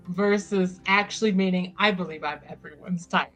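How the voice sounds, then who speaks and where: A woman's voice: lowish voice, measured pace, fairly bright tone, soft background music. A woman playing a tabletop roleplaying game, chatting casually and narrating her character.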